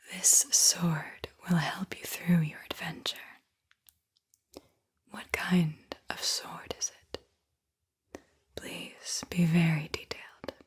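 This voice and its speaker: A woman whispering a guided visualization in an ASMR style, with a breathy, airy voice and a soothing, deliberate delivery. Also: on the low side. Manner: slow, soothing, deliberate delivery with repeated phrases. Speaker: host, guided visualization narrator.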